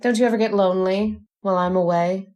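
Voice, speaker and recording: Casting: a woman to play a domineering witch wife for old-time radio horror, mid-pitched, sly, teasing and possessive. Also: steady tone, quick pace, darkish tone, lo-fi sound, lightly acted. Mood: sly menace. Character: bossy, jealous witch wife, coolly menacing and teasing.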